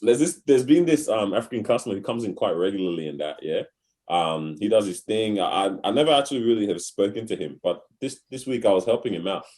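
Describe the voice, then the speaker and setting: A man's voice: fairly low pitch, lively intonation, fast. A young man with an Australian accent, telling a story in casual podcast chat, full of slang. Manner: slangy, laughs loudly, storytelling. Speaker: podcast co-host.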